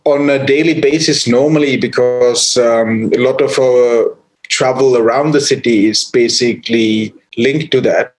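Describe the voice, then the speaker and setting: A man from Sri Lanka speaks as a guest on a podcast. He talks in a halting way, circling back with restarts and fillers while he explains things. His voice sits at a middle pitch. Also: fairly even intonation, poor audio. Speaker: photographer guest. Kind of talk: podcast interview.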